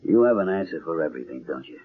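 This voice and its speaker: Suspicious blunt man, very husky voice, curt sardonic delivery, radio mystery drama, thin tinny broadcast sound. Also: low voice, animated, fast. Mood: accusing. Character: suspicious, blunt man, trapped and accusing his host. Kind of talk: 1970s radio mystery acting. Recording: tinny, band-limited old radio broadcast.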